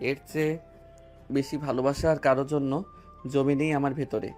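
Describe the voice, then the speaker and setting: A man's voice: medium pitch, level tone, fairly quick, darkish tone, lightly acted. A male voice actor playing a romantic young husband who teases his wife tenderly in an audio drama. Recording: music bed underneath.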